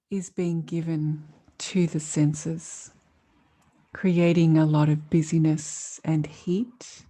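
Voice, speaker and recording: Female meditation teacher, soft mellow tone, unhurried and calm, long pauses between phrases. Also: fairly low pitch. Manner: calm, soothing, measured delivery with long pauses. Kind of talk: guided meditation.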